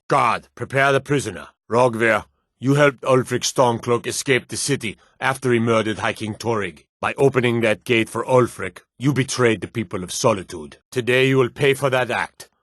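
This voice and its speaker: A man's voice: medium pitch, fairly bright tone, no room sound, close to an everyday voice. Man acting as a stern fantasy city guardsman, dry sound. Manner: authoritative, curt, clipped commands. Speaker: game voice actor playing guards and soldiers. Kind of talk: fantasy video game character dialogue.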